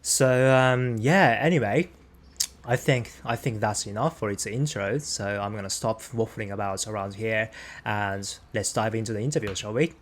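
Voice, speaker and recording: A man's voice: on the low side, normal speed. Chatty Japanese man reacting in English. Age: 30s. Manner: chatty, British-style slang like mate and reckon. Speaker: reaction video host. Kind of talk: casual reaction commentary.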